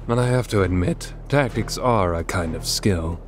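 Man playing a deep, haughty wandering swordsman. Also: normal speed, over music, lightly acted. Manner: haughty, formal, menacing. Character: proud, disdainful male wandering swordsman. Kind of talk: video game fan dub acting.

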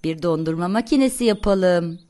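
A woman's voice: fairly low pitch, animated, normal speed, mellow. A woman narrating a cartoon for toddlers in a sing-song, encouraging way, often asking questions. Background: light music behind the voice.

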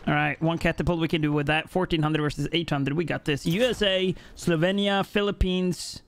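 A man's voice: a little high, steady tone, brisk, slight background noise. A young man from Norway on a headset, chatting with his live-stream chat while playing, jokey and rambling. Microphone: headset mic.

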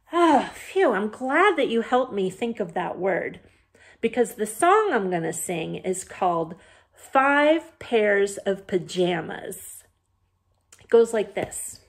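Woman playfully talking to young children, high-pitched. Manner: feigned forgetfulness with questioning pauses. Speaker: children's library storytime host. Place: small bedroom, indoors.

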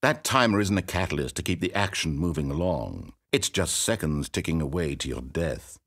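An actor playing a smug, sadistic, all-knowing storyteller in a video game, speaking with theatrical, mock-courteous condescension. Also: male voice, very deep voice, lively intonation, moderate pace, a bit bright, heavily acted. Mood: mocking scorn. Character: smug, sadistic, omniscient male storyteller, politely mocking. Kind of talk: theatrical scripted game narration.

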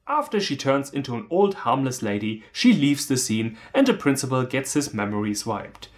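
A man's voice: medium pitch, animated, normal speed. A man in his thirties from Germany with a German accent, delivering a scripted, humorous film review in a sardonic manner.

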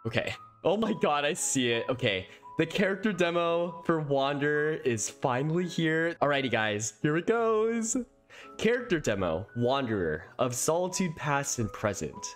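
Excitable man with very animated pitch. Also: normal speed. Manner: excitable, exclamatory. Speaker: streamer reacting to a game trailer. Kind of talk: casual live reaction commentary. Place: small room at home, indoors. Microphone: close desk microphone. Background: faint game trailer music.

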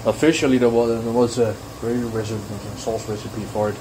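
A man casually narrating a home cooking vlog in a laid-back, somewhat halting way. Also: fairly low pitch, fairly even intonation, background noise. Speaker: home cook, video host. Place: indoors in a home kitchen.

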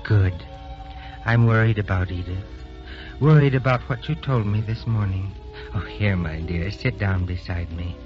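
Actor as a low-voiced devoted old husband, old radio. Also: male voice, unhurried, lightly acted. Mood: drowsy, confiding. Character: devoted elderly husband, feeble and forgetful in old age. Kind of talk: 1930s radio drama acting. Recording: dull vintage radio recording with music.

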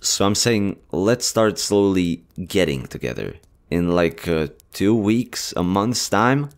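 A man voicing a gentle, smitten army general in a romantic roleplay audio, tender, earnest and a little hesitant. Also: low voice, expressive, takes it slowly, exaggerated voice. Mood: cautious, affectionate. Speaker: voice actor.

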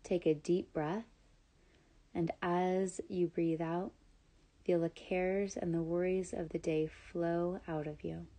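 Slow, mellow woman guiding meditation. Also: fairly low pitch. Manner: calm, soothing, measured instruction with long pauses. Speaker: yoga nidra guide. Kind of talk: scripted guided meditation.

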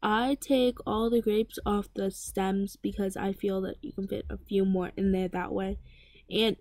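Rambling teen girl with a mellow voice. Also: medium pitch, level tone, a little slow, no room sound. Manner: rambling, many fillers and restarts. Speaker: vlogger. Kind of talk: casual voice-over chat. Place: home kitchen.

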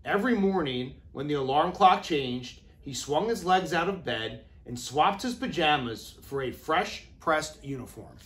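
A man in his thirties reading a children's storybook aloud with deliberate, expressive phrasing, as an educator does for young children. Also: a little high, slight background noise. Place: classroom, indoors.

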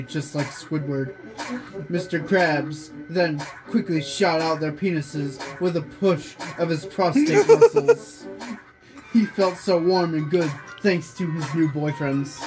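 A young man reads aloud with amateur storytelling drama. His voice sits high for a man, and music plays clearly behind him in a small room. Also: poor audio. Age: young adult. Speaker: friend reading fan fiction aloud. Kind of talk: amateur read-aloud with casual chat. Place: small bedroom, indoors. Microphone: phone camera nearby.

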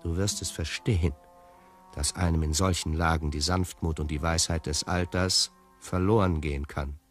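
Man acting urbane radio-play storyteller, low pitch, measured, confiding, faint music. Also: fairly even intonation, natural voice. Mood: confiding, wry. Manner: measured, literary. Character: shape-shifting storyteller confiding in the listener, urbane. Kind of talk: radio drama narration addressed to the listener.

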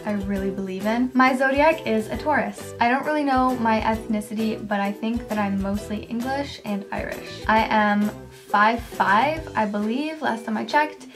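A young woman of twenty talks straight into a close camera from her bedroom, her voice soft and quiet with a slightly high pitch. She chats casually, sprinkling in "like" and little laughs. Background music runs clearly under her voice. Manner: chatty, filler-heavy, laughs often. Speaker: beauty vlogger. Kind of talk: casual facts-about-me vlog. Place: bedroom, indoors, small. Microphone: camera at close range, facing it.

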